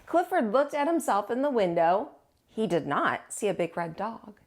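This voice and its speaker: A woman hosting storytime, reading aloud to young children with an expressive, teacherly delivery. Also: mid-range voice, moderate pace.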